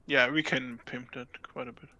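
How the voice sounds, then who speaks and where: A man's voice: medium pitch, little pitch movement, normal speed, bright, forceful tone. A man playing alongside the host, casually giving advice about ships and gear. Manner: helpful, gives game advice. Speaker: co-player. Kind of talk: casual game chat.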